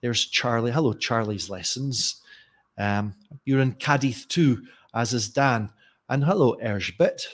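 A man in his forties with a soft East Coast Scottish accent, a teacher trainer telling stories in a live-streamed lesson, chatty and animated with many restarts, at a desk mic in a bright home room. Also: medium pitch, a little slow. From Scotland. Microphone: desk mic with headphones.

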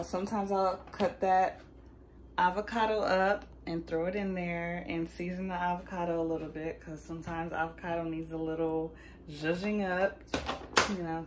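A woman's voice: on the low side, a little hiss or hum. A young adult woman with an American accent, chatting casually to camera in an informal, slangy way as a vlogger.

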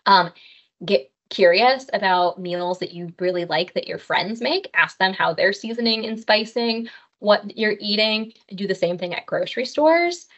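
A woman's voice: mid-range voice, a little slow, a bit bright. A woman dietitian teaching an online cooking class, speaking in a friendly, practical way with frequent "um" fillers. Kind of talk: online health class with slides. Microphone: webcam on a video call. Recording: slightly dull video-call audio.

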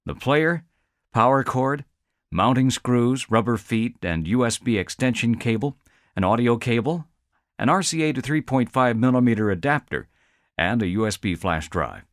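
A man reading a scripted instructional narration in a clear, structured, promotional explainer manner. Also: mid-range voice. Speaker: tutorial narrator.

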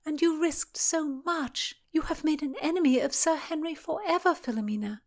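A woman voicing an earnest young gentlewoman in a historical audiobook, with dramatic, drawn-out delivery. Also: fairly high pitch, animated, pitched above the performer's own voice. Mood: dismayed. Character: young gentlewoman reading love letters aloud, earnest, incredulous. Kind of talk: audiobook character acting.

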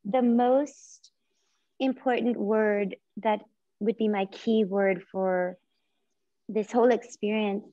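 A woman in her forties whose first language is Japanese, speaking as a visual artist on a video call, soft and reflective with many pauses and restarts. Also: mid-range voice, steady tone, dry recording. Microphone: laptop or phone camera on video call. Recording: muffled lo-fi video call audio.

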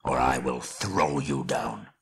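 Man playing a proud, wrathful dwarf king, husky and low. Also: a little slow, a bit bright. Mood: grim, bitter. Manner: imperious, bitter, cursing.

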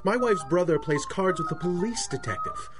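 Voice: mid-range voice, normal speed, natural voice. A performer playing an opinionated, gossipy townsman in a 1930s-style radio drama. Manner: animated street gossip. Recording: music under the voice.

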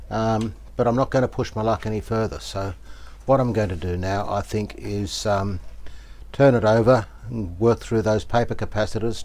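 A man giving casual, unhurried commentary as he works, thinking aloud. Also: on the low side, a little mellow. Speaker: host, radio restorer. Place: small cluttered indoor workshop. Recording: clear background noise, home workshop.

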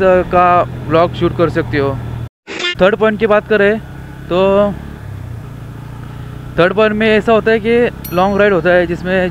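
Young man, high-pitched, chatty, mixes in english, helmet camera on a moving bike, muffled sound, faint traffic. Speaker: motovlogger. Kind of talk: casual chat while riding. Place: on a motorbike on city roads. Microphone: helmet action camera. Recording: dull lo-fi camera audio with faint traffic noise.

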